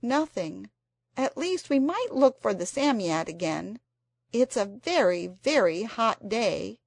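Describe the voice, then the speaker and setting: A woman's voice: mid-range voice, sing-song, slow, creaky, no room sound, studio quality, voice pushed up, exaggerated voice. A woman voicing an earnest young girl in a storybook, pleading and a little dramatic. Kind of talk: storybook character voice.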